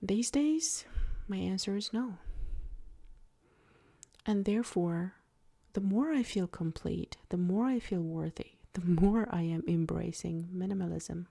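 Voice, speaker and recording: A woman guiding a soft-spoken ASMR meditation in a breathy, near-whispered voice, with gentle, deliberate phrasing. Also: fairly low pitch. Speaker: ASMR creator and meditation guide.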